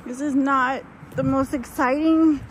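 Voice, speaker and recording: A middle-aged woman chatting casually as she walks outdoors, speaking in a halting way that trails off, recorded on a handheld phone. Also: sits high, fairly even intonation. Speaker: vlogger. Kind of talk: casual walking-tour chat. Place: outdoors walking along roadside and hilly park. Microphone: handheld phone at arm's length. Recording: loud outdoor street and wind noise.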